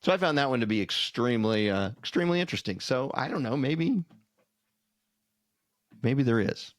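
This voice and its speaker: Guy cohosting a podcast, folksy storyteller, medium pitch, really dry sound. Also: expressive, normal speed, no echo. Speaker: podcast co-host. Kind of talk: casual podcast chat.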